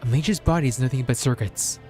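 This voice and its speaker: A male streamer reads fantasy fiction aloud in a solemn, measured way. His pitch sits in the middle for a man, and he delivers short phrases as dramatic narration. Faint music plays behind him. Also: a little mellow. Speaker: streamer reading a visual novel aloud. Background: background music.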